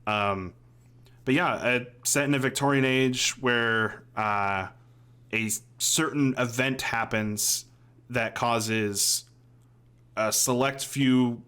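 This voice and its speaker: A man's voice: fairly low pitch, pushed and bright, clear, resonant tone. Casual, rambling male podcast co-host. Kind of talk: casual chat, reviewing TV shows. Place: home room, on a video call.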